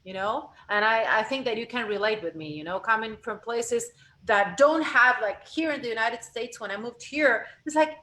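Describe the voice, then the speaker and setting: A woman from Argentina, speaking as a guest voice coach in a lively interview, restarting phrases as she talks. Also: a little high, moderate pace. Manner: animated, expressive, frequent self-corrections. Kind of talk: conversational interview.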